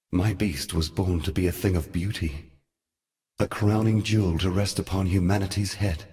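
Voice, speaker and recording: A man voicing an ancient, weary god in a fantasy game, speaking in a grave, deliberate and eloquent manner. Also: low-pitched, a little mellow. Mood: sorrowful, regretful. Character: ancient weary god, solemn, regretful, cunning male ally. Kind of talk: theatrical fantasy game dialogue.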